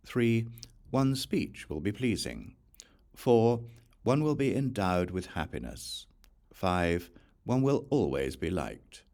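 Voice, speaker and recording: A man reading scripture as an audiobook, in a measured, deliberate way with pauses between points. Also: on the low side, a little mellow. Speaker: audiobook reader.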